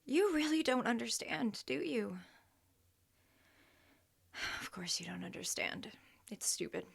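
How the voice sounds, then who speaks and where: A high-voiced, creaky, emotional girlfriend. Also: animated, full character voice. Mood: hurt, frustrated. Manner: emotional, halting, often dropping to a breathy murmur. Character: hurt, exasperated young woman, girlfriend of an emotionless man. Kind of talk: naturalistic audio drama acting.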